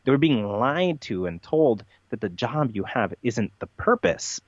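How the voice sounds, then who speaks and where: A man's voice: medium pitch, animated, unhurried, no echo, muffled recording. A man giving unscripted interview answers as a podcast guest, explaining at length in an emphatic, rambling way with repeated phrases.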